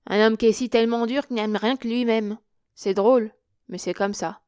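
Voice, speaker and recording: A woman reading aloud, voicing a coarse old drunken woman with rough, loud, working-class speech. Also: on the high side, fairly even intonation, moderate pace, no room sound, clean studio sound, close to an everyday voice. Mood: pleading.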